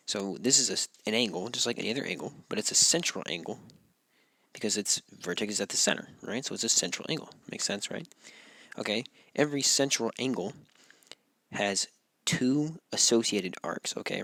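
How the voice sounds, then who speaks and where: Gravelly-voiced male math teacher, losing his voice. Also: on the low side, no room sound. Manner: relaxed, repeats points and checks with "right?". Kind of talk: recorded classroom walkthrough.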